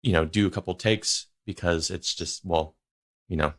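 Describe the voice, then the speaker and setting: A young male podcast host with a slightly low voice talks loosely and rambles. He leans on "you know" and "like" as he works toward his questions. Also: normal speed, a bit bright. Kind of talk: casual interview chat.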